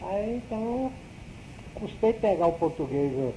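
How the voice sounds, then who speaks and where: A man in his eighties, whose first language is Arabic, recalling his childhood in a halting way, restarting and repeating words. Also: high voice, animated, mellow, slight background noise, poor audio. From Brazil. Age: 80s. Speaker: interviewee, elderly father. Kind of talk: casual oral history interview.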